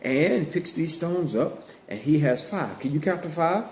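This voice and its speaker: A man telling a Bible story to children in an animated way, in simple words with repeated phrases and questions. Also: on the high side, moderate pace. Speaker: storyteller, puppet show host. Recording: roomy echo, clear background noise.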